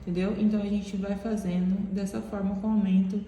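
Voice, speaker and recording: A woman's voice: medium pitch, little pitch movement, fairly quick, mellow, a little hiss or hum. A woman with a stuffy, nasal voice, explaining a crochet pattern step by step in a chatty tutorial manner. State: stuffy nose. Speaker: crochet teacher. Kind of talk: casual tutorial talk. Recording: very echoey room with long reverb.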